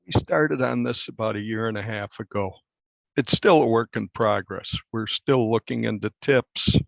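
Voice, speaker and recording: An American man in his sixties, a newspaper reporter, speaking conversationally and earnestly in an interview, in measured phrases. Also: on the low side, vocal fry, no room sound. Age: 60s.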